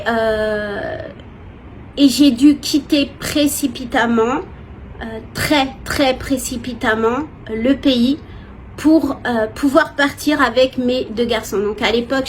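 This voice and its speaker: A woman from France, giving a personal, expressive and hesitant testimony to viewers in casual talk. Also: on the high side. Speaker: vlogger. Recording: clear background noise, ambient sound.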